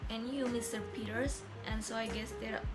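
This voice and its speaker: A woman's voice: a little high, fairly even intonation, normal speed, soft background music, natural voice. A young woman playing an earnest male farmer neighbour giving a witness account in an amateur student drama. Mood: recounting.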